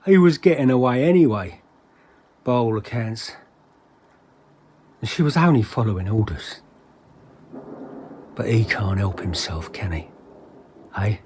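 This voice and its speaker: An actor playing an agitated, paranoid English henchman in a dramatic monologue, husky, wordy and slangy, with theatrical repetitions. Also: fairly low pitch, lively intonation, measured pace, pauses often, a little mellow, slight background noise. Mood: bitter, rueful. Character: agitated paranoid henchman ranting about a superspy, colourful slangy storyteller.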